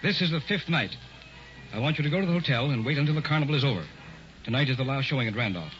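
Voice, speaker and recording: Man voicing a menacing fake professor, soothing and controlled, lively pitch, bright edge, dull old radio sound. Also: racing, close to an everyday voice. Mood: commanding. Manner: soothing, controlled, quietly sinister. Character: sinister doctor posing as professor, calm and menacing. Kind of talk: 1940s radio acting. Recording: dull old radio recording with faint music.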